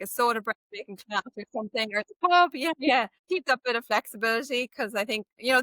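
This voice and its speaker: An Irish woman talks in a chatty, explaining way, her speech sprinkled with "like" and "um". Her voice is bright and clear, at a middle pitch, and the recording is dry and close with no room sound. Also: no echo. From Ireland. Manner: chatty and explanatory, many "like" and "um" fillers. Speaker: guest, walking tour operator. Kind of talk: casual interview chat.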